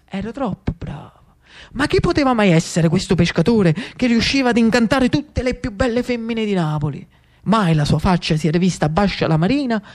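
A man with a Neapolitan accent narrating theatrically as an actor and storyteller, emphatic, with rhetorical pauses and drawn-out words. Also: high-pitched.

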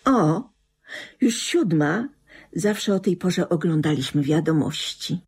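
An actress in a radio drama playing a bitter, resentful middle-aged widow, reproachful and emotionally swinging, with a husky, creaky voice. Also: female voice, lowish voice, animated, slow pace, darkish tone, soft background music, heavily acted. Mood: lost. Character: bitter, resentful widow talking to her dead husband.

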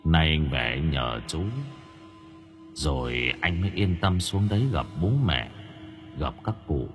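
A man voicing the ghost of an older village man who speaks in a low, even, murmured monotone. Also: unhurried, faint music behind. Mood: solemn.

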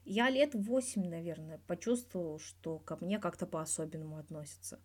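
A woman's voice: lowish voice, normal speed, pitched below the performer's own voice, natural voice. A woman voicing a guarded male hockey star in his thirties, talking candidly and wryly in a scripted interview from a fan fiction audio reading. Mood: reflective. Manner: candid, wry, colloquial with swearing.

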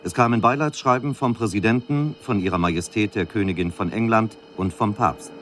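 A man's voice: on the low side, a little fast, a bit bright, a little hiss or hum, natural voice. An actor playing a male news reporter who delivers a factual, formal bulletin in a radio drama. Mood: sober. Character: male news reporter soberly announcing a city disaster.

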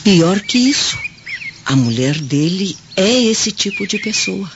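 Through a dull recording with clear noise comes a devout Roman mother of radio drama. She is a Brazilian woman of medium pitch, earnest and protective. Her delivery is solemn and theatrically emphatic. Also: sing-song, slow. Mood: grave, warning.

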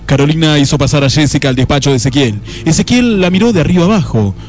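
A man's voice: on the high side, expressive, quick pace, darkish tone, lightly acted. A man playing a solemn, lurid narrator in a noir parody radio play, melodramatic and lingering over his phrases. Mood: wry, storytelling. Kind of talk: scripted dramatic narration.

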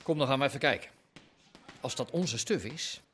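A man's voice: medium pitch, normal speed, noisy background, close to an everyday voice. A man acting a suspicious, menacing gambling boss and slumlord in a radio drama, speaking in a curt, sardonic way. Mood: distrustful.

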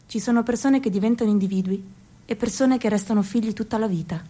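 A young woman performing a theatrical monologue for a radio documentary. She is slow and dramatic, drops to whispers and breaks into sudden cries. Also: mid-range voice, warm tone. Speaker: narrator and performer.

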